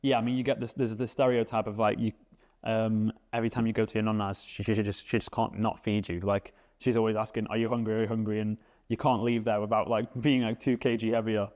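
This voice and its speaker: A man, chatting casually as a guest, relaxed and rambling with lots of fillers. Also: on the low side, fast. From England. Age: 20s. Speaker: guest, vegan cook. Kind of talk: casual interview chat.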